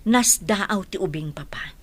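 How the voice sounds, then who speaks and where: A woman's voice: medium pitch, lively intonation, a little slow. A woman playing a well-off aunt and deferential grown daughter in a radio drama, coaxing, with an Ilocano accent. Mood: cautious, explaining. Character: well-off aunt, grown daughter gently pleading with her father.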